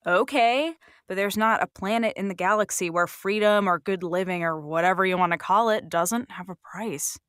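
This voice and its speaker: A woman's voice: mid-range voice, normal speed, few pauses, a bit bright, clear, resonant tone, no room sound, natural voice. Actress as a sassy, frank woman, dry close sound. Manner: casual, blunt, playful. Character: frank, sassy, contented human female attendant. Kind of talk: audio drama dialogue.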